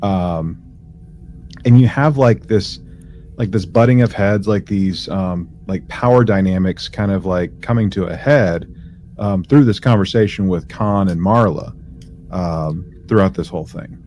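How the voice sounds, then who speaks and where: A man with a low-pitched voice recaps a story as a podcast co-host. He speaks very slowly and haltingly, assembling each sentence piece by piece. Background noise is audible in the recording. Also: darkish tone. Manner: halting, piecing phrases together slowly. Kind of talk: casual episode recap.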